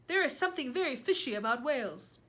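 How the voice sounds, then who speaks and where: A woman voicing a huge, boastful but friendly storybook giant who speaks emphatically in drawn-out, muddled comic grammar. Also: high voice, expressive, sharp tone, pitched above the performer's own voice. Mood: blustering, opinionated. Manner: emphatic, drawn-out, mangled words.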